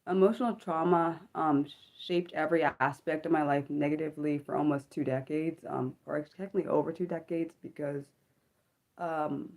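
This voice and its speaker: Low, mellow-voiced woman in her thirties. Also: fairly even intonation. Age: 30s. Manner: halting, many ums and apologetic self-corrections. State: slightly sick voice. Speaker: livestream host. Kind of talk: personal reflective livestream talk. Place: at home.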